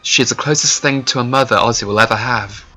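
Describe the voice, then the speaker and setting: A man voicing a guarded, protective father dragon in an animated fantasy series, with a measured, dry delivery. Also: mid-range voice. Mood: serious, protective.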